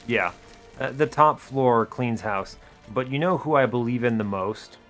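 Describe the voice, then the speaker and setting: A man hosts a gameplay video with informal, explanatory commentary. His voice sits a little higher than average for a man. Faint music plays behind him as he talks through the play. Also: measured pace. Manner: informal, animated bursts, checks in with rhetorical "right?" and "okay?". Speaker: video game streamer, series host.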